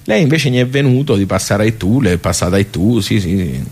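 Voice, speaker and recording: An actor with a Tuscan accent playing a plain-spoken village social club regular in a radio monologue, rambling and hesitant, repeating himself with chatty asides. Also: male voice, lowish voice, animated, fairly quick, creaky, a little mellow, husky, full character voice. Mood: fond, admiring. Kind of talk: theatrical radio monologue, colloquial storytelling.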